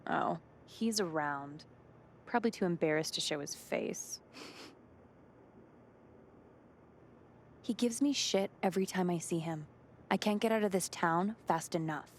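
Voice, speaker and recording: A woman's voice: lowish voice, moderate pace, slight background noise, lightly acted. A woman playing a smart, down-to-earth young video game character in a casual, slightly wry, natural way.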